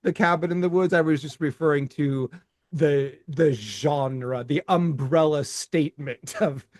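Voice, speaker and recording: A man's voice: fairly high pitch, a little slow, a little mellow, dry recording, studio quality. A middle-aged man co-hosting a movie podcast, rambling casually with restarts and swearing. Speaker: podcast co-host.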